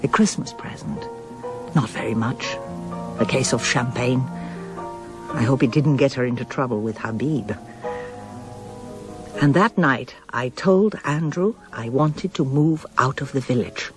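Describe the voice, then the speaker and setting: An actress playing a candid, reflective middle-aged wife in a radio drama, speaking articulately and earnestly. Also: female voice, a bass voice, measured pace, pauses often, over music. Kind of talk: radio drama acting, both dialogue and first-person narration.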